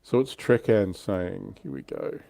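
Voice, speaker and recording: A man's voice: sits low, unhurried, gravelly, dark tone, no echo. A man hosting a livestream, explaining history in an informal, enthusiastic, chatty way. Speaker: livestream host. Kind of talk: casual explanatory history chat.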